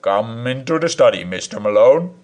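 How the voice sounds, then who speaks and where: A man voicing a gruff, bullying professor who rumbles his teasing remarks. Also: lively intonation, darkish tone, natural voice. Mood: mocking. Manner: rumbling, teasing, slow and drawn out. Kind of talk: audiobook character reading.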